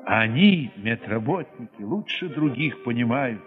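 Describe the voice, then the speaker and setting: An actor playing a war-weary, tender dance ensemble leader recalling besieged children in an emotive, measured audio-play monologue, with a husky voice. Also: male voice, mid-range voice, lively intonation, in a large hall, phone-quality sound, faint music behind, lightly acted. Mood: stirred, exultant. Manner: measured, emotive, with dramatic swells and hushed drops.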